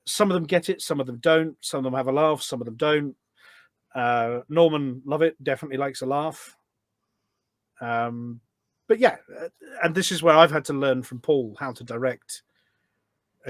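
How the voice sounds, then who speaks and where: A man's voice: medium pitch, normal speed, no echo. A man chatting casually as an interview guest, telling anecdotes in an enthusiastic, talkative way. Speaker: podcast guest, voice pack producer.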